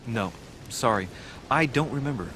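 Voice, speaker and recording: A man acts out a young French diplomat in a game cutscene. He is polite, formal and self-assured, with a questioning manner and a middle-pitched voice. A clear rumbling ambience runs behind him. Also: lively intonation, normal speed, background noise, close to an everyday voice. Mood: apologetic. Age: young adult. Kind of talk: video game cutscene acting.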